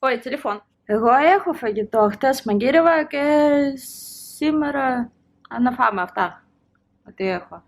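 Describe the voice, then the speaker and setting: A woman's voice: high-pitched, expressive, unhurried. A woman in her thirties chatting casually in a home vlog, rambling from topic to topic and laughing often. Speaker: vlogger. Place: at home, indoors.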